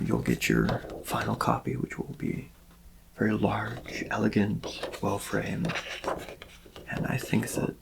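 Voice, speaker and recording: Soft-spoken man with heavy vocal fry. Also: medium pitch, noisy background. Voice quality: soft, breathy-edged voice dropping into whispers and creaky fry. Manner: gentle, unhurried, reassuring, politely attentive. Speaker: ASMR roleplay performer as a portrait artist. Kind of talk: soft-spoken ASMR personal-attention roleplay.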